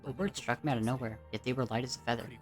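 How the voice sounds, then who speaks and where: An amateur storyteller reads scripted first-person narration. The voice sits at a middling pitch. Music plays behind it. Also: steady tone, racing, darkish tone. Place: indoors at home.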